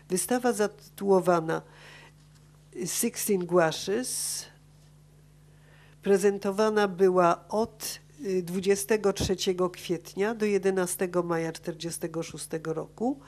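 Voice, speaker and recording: Low-voiced woman reading a conference paper. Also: a little mellow. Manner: reads from a script, stumbling over words. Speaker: conference speaker. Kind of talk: academic paper read aloud. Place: conference auditorium. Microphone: gooseneck table microphone.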